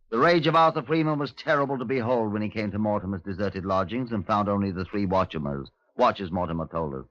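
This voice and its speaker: An actor playing an authoritative Scotland Yard detective, formal and precise, in a 1950s radio drama. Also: male voice, lowish voice, a bit bright, dry recording. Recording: dry, muffled old radio recording.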